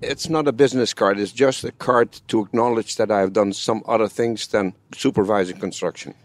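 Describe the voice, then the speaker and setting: Man in his 70s, Dutch accent, lowish voice, dry close sound, matter-of-fact. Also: fairly even intonation, moderate pace, no echo. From Netherlands. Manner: matter-of-fact, slightly broken English. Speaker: guest, amateur film actor. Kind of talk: casual conversation.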